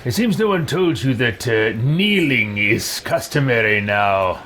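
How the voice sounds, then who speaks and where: Male gamer as arrogant fantasy villain lord: greedy, haughty, unhurried, highly animated pitch. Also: a little hiss or hum. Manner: haughty, slow, disdainful. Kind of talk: roleplay voice for a video game let's play.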